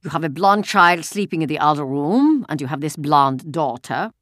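A woman narrator plays an angry Nazi officer in a storybook reading. The voice is forceful and raised, with a harsh bark and a German accent, in a very dry recording. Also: very animated, moderate pace, no room sound. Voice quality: deep, harsh. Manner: loud, barking, menacing. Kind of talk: audiobook character voice.

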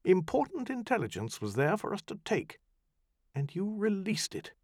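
An imperious woman magician, put on by a male narrator, her raised voice brisk, sharp and commanding. The pitch swoops expressively over a close, dry audiobook recording. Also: animated, no echo.

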